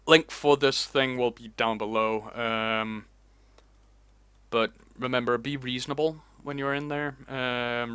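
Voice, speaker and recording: Slow-talking man, bright voice. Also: mid-range voice, clear, resonant tone, dry recording. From Denmark. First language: Danish. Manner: rambling, unhurried, chatty. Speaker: host. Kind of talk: casual reaction vlog commentary. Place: home, alone at a computer.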